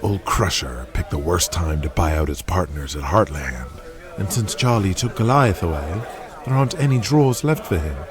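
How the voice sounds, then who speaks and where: A man's voice: low voice, lively intonation, normal speed. A man playing a cynical, blunt, foul-mouthed 1980s wrestling promoter in an audio drama, speaking with authority. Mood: scornful, calculating. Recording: crowd noise behind the voice. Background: arena crowd.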